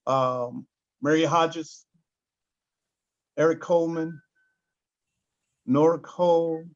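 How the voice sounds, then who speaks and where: A man giving hesitant, halting opening announcements as an event coordinator over a lo-fi video call. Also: on the high side, steady tone. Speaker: workshop coordinator introducing the event. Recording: dull lo-fi video call audio.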